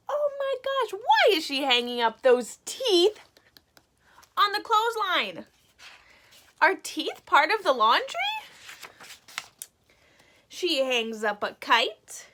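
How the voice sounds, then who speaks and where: A woman with a high, bright voice reads a picture book to young children in the manner of a teacher. Her delivery is unhurried and expressive, and she stops to put words into simple terms. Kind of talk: children's read-aloud with simple explanations.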